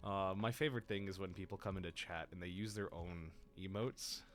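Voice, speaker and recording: A young adult man hosting a casual livestream, tired and exhausted, rambling in a playful, self-mocking way, his voice deeper than usual today. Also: brisk, faint music behind. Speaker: stream host.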